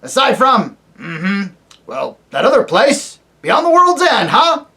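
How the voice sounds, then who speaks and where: A reader voicing a very old, deaf and irritable male dwarf who talks loudly and mishears words, in a storybook reading. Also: mid-range voice, huge pitch swings, slow pace, pitched above the performer's own voice, exaggerated voice. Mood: warm, stately. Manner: loud, testy, mishears words.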